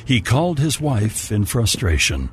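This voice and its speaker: A man's voice: medium pitch, sing-song, a little slow, slight background noise. A man reading a scripted story as its narrator.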